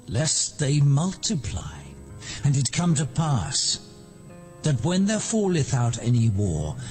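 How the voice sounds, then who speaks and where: A man's voice: mid-range voice, darkish tone. An actor playing a commanding, scheming king of Egypt in a dramatized Bible, with declamatory archaic diction.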